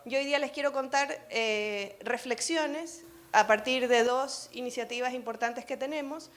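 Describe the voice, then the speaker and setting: A middle-aged woman from Ecuador, with an accent softened by years in Chile, hosting a conference masterclass and talking conversationally, asking the audience questions. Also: high voice, unhurried. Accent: Ecuadorian with a Chilean tinge. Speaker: session host and speaker. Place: conference room.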